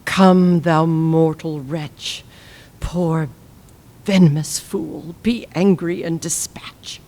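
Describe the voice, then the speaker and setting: A woman's voice: low-pitched, steady tone, slow pace, warm tone. A woman playing a grieving, regal Egyptian queen resolved on death, in stately, impassioned classical Shakespearean verse. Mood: dying, tender. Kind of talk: classical Shakespearean stage acting.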